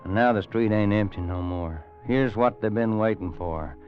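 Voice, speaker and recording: Guy playing a folksy old rancher, low drawl, dull vintage radio sound. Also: normal speed, faint music behind, lightly acted. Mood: grim. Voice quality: drawling, folksy, grammar-bending. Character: weathered old frontier rancher narrating. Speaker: narrator. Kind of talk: 1940s radio drama. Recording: muffled vintage radio recording.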